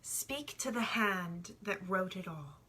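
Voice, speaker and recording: A woman voicing the sun as a wise, aloof, ancient being in a measured storybook read. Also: mid-range voice, expressive, sharp tone, husky, heavily acted. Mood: solemn.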